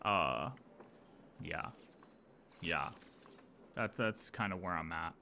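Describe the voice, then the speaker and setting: A man's voice: low voice, slow, gravelly, sharp tone, a little hiss or hum. A young man casually reacting to a game on a live stream, rambling through his theories.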